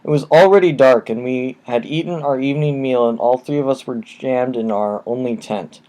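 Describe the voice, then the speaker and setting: A man narrating creepy first-person tales at a mid-range pitch. He reads in a steady, low-key way, and a cheap microphone colours the sound. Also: moderate pace, low-quality recording. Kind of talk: reading horror stories aloud.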